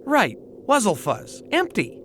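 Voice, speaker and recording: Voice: mid-range voice, huge pitch swings, slow, fairly bright tone, soft background music, heavily acted. An actor playing a cheerful, curious clown who leads the gang in a children's musical audio play, theatrical and jolly. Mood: puzzled.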